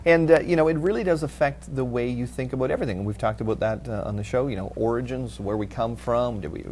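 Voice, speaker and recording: A middle-aged man hosts a TV panel discussion at an ordinary, medium pitch. He reads from articles and comments haltingly. There is audible hum and noise behind him. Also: darkish tone. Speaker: TV panel host. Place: TV studio at a panel table.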